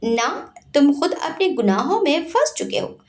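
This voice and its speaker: A woman's voice: sits high, big pitch swings, unhurried, pitched above the performer's own voice. A woman voicing a confident, assured young man, a devoted son in an audio story. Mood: mocking.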